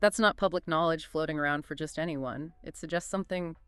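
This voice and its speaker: A woman co-hosting a scripted discussion, telling the story with lively emphasis. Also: sits low, fairly quick, clean tone, no room sound, soft background music. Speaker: podcast co-host. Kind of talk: scripted two-host discussion.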